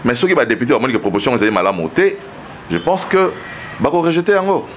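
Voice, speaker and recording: A man's voice: mid-range voice, sing-song, fast talker, slight background noise. A Congolese man giving political opinions in an interview, arguing with rhetorical questions and frequent check-ins with the listener. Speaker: political commentary guest.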